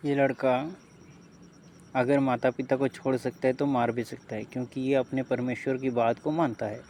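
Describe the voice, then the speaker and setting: A man's voice: mid-range voice, little pitch movement, moderate pace, darkish tone. Disapproving man commenting over faint noise. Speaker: commentator on a recorded call. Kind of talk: spoken commentary to viewers.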